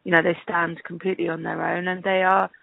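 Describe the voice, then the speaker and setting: A woman's voice: fairly low pitch, flat delivery, brisk, gravelly, no room sound. A woman answering interview questions over the phone in a hesitant, conversational way, thinking aloud as she goes. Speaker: interview guest, casting director. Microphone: telephone call. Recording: tinny, band-limited phone line.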